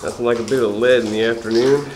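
A man's voice: mid-range voice, fairly even intonation, quick pace, darkish tone, slight background noise. A man filming alone inside an abandoned prison, talking offhandedly to himself and swearing now and then as he explores. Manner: offhand, swears often, thinks aloud. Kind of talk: casual muttered commentary while exploring. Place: large abandoned prison building, indoors. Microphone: camcorder mic, speaker behind the camera. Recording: echoey concrete interior with faint ambience.

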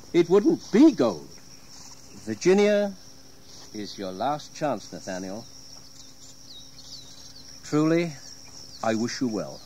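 A man's voice: fairly high pitch, a little mellow, slight background noise. An actor playing a stern, disappointed English squire and father, grave and formal, in an audio history drama. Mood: weary reproach.

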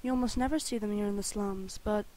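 A woman voicing a sweet, caring young woman in a gentle, earnest manner for a game fandub audition. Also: mid-range voice, fairly even intonation, quick pace, lightly acted. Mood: tender. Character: sweet, caring young woman greeting her childhood friend.

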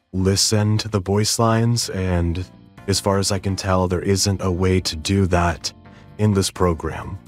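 A man narrating a step-by-step software tutorial as its host. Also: sits low. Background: background music.

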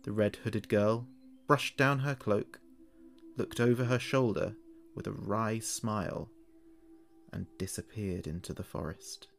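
A man narrating a scripted horror story in a measured, dramatic way. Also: fairly low pitch, creaky.

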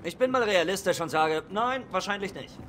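Wry high-pitched soldier. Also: male voice, expressive, brisk, fairly bright tone, a little hiss or hum. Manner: wry, sarcastic. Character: wisecracking male soldier, wry and grumbling. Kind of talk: video game voice acting, German dub. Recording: game music behind.

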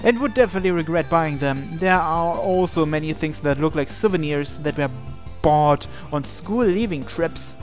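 A man's voice: on the high side, normal speed. A man reading visual novel narration and dialogue aloud as a gaming video host. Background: game music.

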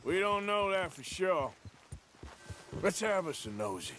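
Seasoned American outlaw for Western game: folksy drawl, higher-set, swinging pitch, wry and cautious, music behind. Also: male voice, very animated, measured pace, noisy background. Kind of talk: video game dialogue in a Western. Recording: music under the dialogue, horse hooves. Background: loud music and horse hooves.

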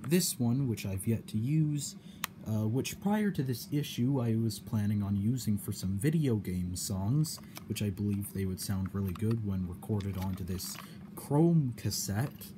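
Slow-paced, hesitant man with noise behind. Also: fairly low pitch, lively intonation. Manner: hesitant, halting delivery with repeated phrases. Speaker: cassette hobbyist demonstrating a problem. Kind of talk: casual explanatory vlog. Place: indoor room at home. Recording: clear background noise.

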